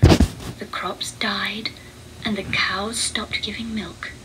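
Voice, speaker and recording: A woman narrating a children's read-along storybook with deliberate, expressive phrasing. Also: mid-range voice, whispered, poor audio.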